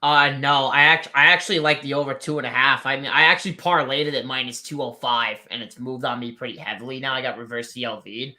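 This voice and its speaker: Blunt man with a very bright voice. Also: medium pitch, steady tone, fast talker, hardly any pauses. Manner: blunt, rambling, leans on "I feel like". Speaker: betting analyst guest. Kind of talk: casual picks breakdown.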